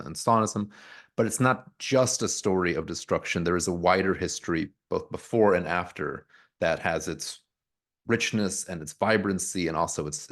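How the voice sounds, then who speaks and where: Deep gravelly-voiced man, thoughtful. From United States, grew up in Pennsylvania. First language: Polish. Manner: thoughtful, restarts and repeats words while searching. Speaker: author and historian, guest. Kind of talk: conversational interview answers.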